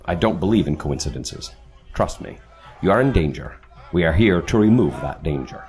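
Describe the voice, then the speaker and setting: An actor playing a self-assured, punctual leader of secret agents in an audio drama, formal and commanding. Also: male voice, sits low, expressive, normal speed, a little mellow. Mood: calm, assured.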